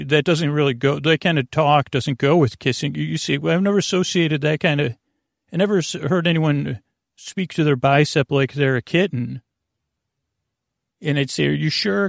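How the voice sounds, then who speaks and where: A man hosting a bedtime podcast in a creaky voice, meandering and halting through soothing, rambling tangents. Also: fairly high pitch, no room sound, studio quality. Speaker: podcast host.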